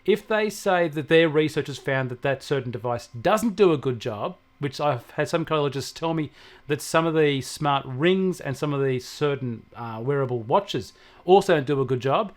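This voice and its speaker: A man's voice: on the high side, expressive, moderate pace. An Australian man giving conversational technology advice as an expert, emphatic and repeating points to stress them. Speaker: technology expert answering questions.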